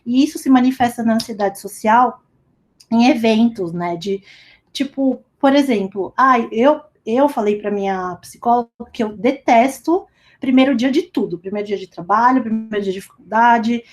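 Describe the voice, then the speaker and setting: A woman's voice: a little high, normal speed. A young woman giving a casual talk over a remote call, chatty and full of fillers as she tells a personal story. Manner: chatty, lots of fillers like "sabe" and "tipo". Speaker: speaker at a company talk. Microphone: remote call recording.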